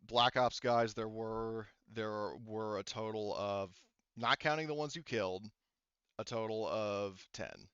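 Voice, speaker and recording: A man running a tabletop roleplaying game as game master, explaining details casually in a halting way. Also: lowish voice, a bit bright, clear, resonant tone, dry recording, lo-fi sound.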